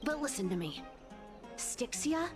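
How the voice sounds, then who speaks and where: Sly, brash game trickster, lively swooping delivery, unhurried, music underneath. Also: female voice, on the high side. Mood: teasing warning. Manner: playful, teasing, theatrical swoops between airy murmurs and exclamations. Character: mischievous greedy demigod trickster woman, teasing and brash. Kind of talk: animated video game voice acting. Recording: game audio with clear background music.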